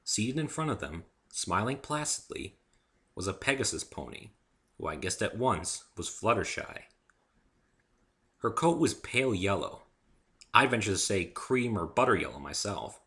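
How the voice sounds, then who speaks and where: A man's voice: fairly low pitch, very animated, moderate pace, sharp tone. A man giving an amateur dramatic reading of a first-person story as its narrator.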